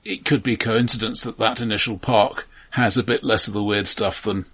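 A male podcast co-host with a somewhat rough-edged, fairly low voice. He talks casually but analytically about film, often restarting phrases as he thinks them through. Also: fairly even intonation, moderate pace, fairly bright tone.

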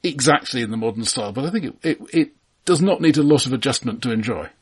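A man's voice: medium pitch, animated, fast talker, breathy edge. A man co-hosting a film podcast, chatting casually in a wry, discursive way.

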